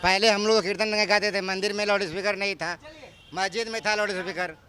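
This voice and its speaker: A man with a high voice and a Bihari accent taking part in a street debate. He is plain-spoken and insistent, often repeating himself. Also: steady tone, fairly quick, bright, forceful tone. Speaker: member of the public.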